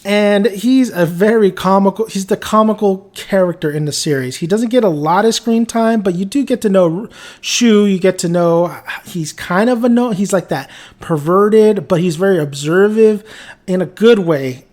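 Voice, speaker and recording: A man's voice: high voice, normal speed, darkish tone. A man with a Mexican accent giving a casual, rambling video review, often restarting his sentences and chuckling as he talks. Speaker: anime reviewer.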